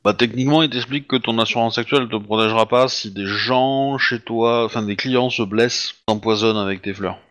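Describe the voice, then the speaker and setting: A man's voice: medium pitch, a little fast, few pauses, a bit bright, lo-fi sound. A man running a tabletop roleplaying game as game master. He narrates casually, with lots of fillers and some slang.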